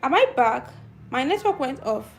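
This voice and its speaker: A woman's voice: high voice, big pitch swings, moderate pace, creaky, faint music behind. A middle-aged woman with a young-sounding voice hosting a casual live stream, chatting and answering viewer comments. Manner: youthful-sounding voice. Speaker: channel host.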